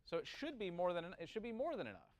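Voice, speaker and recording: A man's voice: high-pitched, huge pitch swings, fast talker, a little mellow, no echo. A man giving an informal technical lesson, thinking aloud and checking in with short questions. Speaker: teacher of audio engineering. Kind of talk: informal classroom lesson, working through a calculation aloud.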